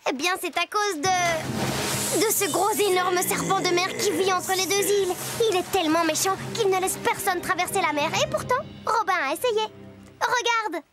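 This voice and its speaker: A woman voicing a lively, chatty little girl who tells stories playfully to young viewers in a cartoon. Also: very high voice, normal speed. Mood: eager storytelling. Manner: exclamatory, confiding, childlike. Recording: loud music behind the voice.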